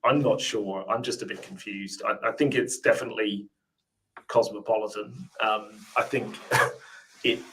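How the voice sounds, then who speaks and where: A man's voice: lowish voice, steady tone, a little slow, fairly bright tone, a little hiss or hum, poor audio. A man with a mixed English and Australian accent, a podcast guest talking reflectively, with many ums and restarts. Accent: English-Australian mix. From England. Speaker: podcast guest, finance officer. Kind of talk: casual interview chat.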